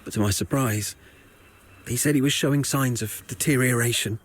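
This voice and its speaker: Male actor, game foot soldier, slightly rough voice, medium pitch, talkative, uneasy, confiding, evasive. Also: normal speed, natural voice. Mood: confiding, somber. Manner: conversational, confiding storytelling. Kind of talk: video game character dialogue.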